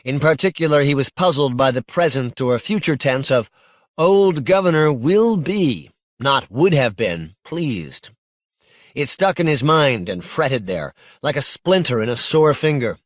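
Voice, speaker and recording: A man narrating literary science fiction as an audiobook, measured and articulate in his reading. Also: medium pitch, animated, dry recording, studio quality.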